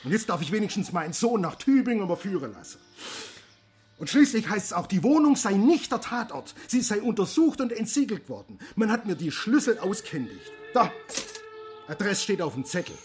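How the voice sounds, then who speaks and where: A man's voice: high voice, sing-song, normal speed, faint music behind. An actor playing a distraught middle-aged father in a radio play, agitated, faltering and full of complaint. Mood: shaken, agitated.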